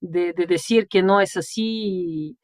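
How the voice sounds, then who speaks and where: A woman's voice: lowish voice, a little slow, darkish tone, dry recording, studio quality. A woman in her forties from Bulgaria, speaking as an interview guest. She tells stories animatedly, tags nearly every phrase with a questioning "no", and laughs as she talks. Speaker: interview guest, sinologist and translator. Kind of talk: conversational interview storytelling.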